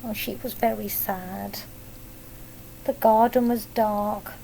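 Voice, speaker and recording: A woman's voice: mid-range voice, fairly even intonation, slow, creaky, warm tone, a little hiss or hum, rough recording. A British woman reading a picture book aloud like a primary teacher, with simple child-directed asides and little tag questions. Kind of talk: children's storytelling with explanatory asides.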